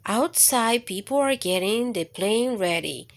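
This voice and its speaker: A woman hosting storytime for children, reading aloud with deliberate phrasing and speaking straight to her young listeners. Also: medium pitch, lively intonation.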